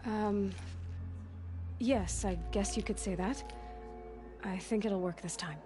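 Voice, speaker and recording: A young woman playing a defiant young huntress who speaks in direct, forceful retorts in video game dialogue. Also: medium pitch, slow pace, natural voice. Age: young adult. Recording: game audio with music behind.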